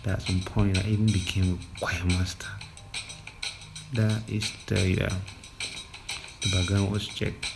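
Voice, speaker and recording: A man's voice: low-pitched, little pitch movement, unhurried, vocal fry, pauses often, over loud music. A young Nigerian man hosting a podcast, telling his own life story in a loose, slangy, reflective way. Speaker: podcast host. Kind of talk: personal storytelling monologue.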